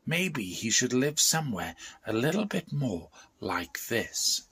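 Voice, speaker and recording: Storybook little girl played by a man, enthusiastic and childlike, lively pitch swings. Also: unhurried. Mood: excited, sincere.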